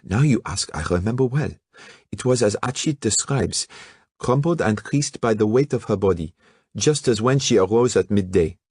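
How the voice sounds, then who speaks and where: A man playing an excitable, high-strung male artist. He speaks in formal, stilted phrases, with a lively rise and fall, read aloud on a dry recording. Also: moderate pace, slightly rough, dry recording. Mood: excited.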